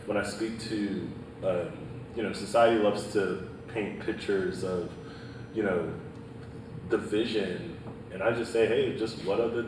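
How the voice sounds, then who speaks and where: A low-voiced man from Haiti answers a panel question earnestly, leaning on data and checking in with "right?". He sounds distant from the mic in a strongly reverberant room. Steady background noise hums beneath him. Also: unhurried. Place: panel event in a hall.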